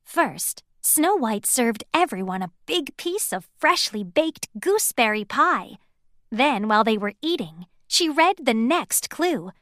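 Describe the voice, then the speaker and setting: A woman narrating a children's read-aloud storybook in an animated, deliberate way, as one who acts out the dialogue. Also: on the high side, no echo, clean studio sound.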